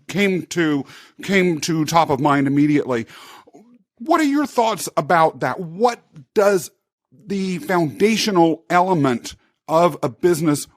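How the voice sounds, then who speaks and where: A man's voice: fairly high pitch, big pitch swings, unhurried, no room sound, clean studio sound. A man hosting a podcast, asking thoughtful, probing questions and talking them through as he goes. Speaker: podcast host.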